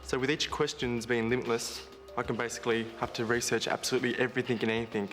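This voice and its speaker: A man in his mid-twenties talks fast and eagerly. He pitches himself like a salesman. Music is clear behind him. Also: flat delivery. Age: 20s. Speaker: game show contestant.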